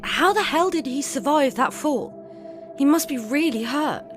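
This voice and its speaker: A curious, puzzled female survivor acted by a woman in an audio drama. Her high voice is very animated, over clear background music. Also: measured pace. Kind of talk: amateur audio drama acting.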